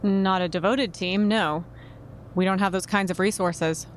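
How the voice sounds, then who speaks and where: An actress playing a composed, shrewd investigating agent in a podcast drama, polite, official and measured. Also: female voice, mid-range voice, steady tone, slight background noise, close to an everyday voice. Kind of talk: naturalistic fiction podcast acting.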